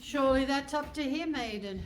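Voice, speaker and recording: A woman's voice: sits high, normal speed, a little hiss or hum, natural voice. A woman playing a prim, inquisitive detective mother in a live comic radio play. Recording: slightly distant stage mic.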